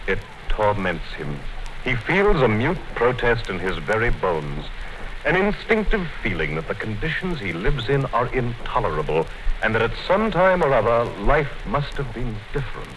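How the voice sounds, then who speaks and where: An actor in a 1950s radio drama, playing a calm, quietly menacing male party official. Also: medium pitch, moderate pace, poor audio. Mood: measured. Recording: old radio broadcast, faint hiss.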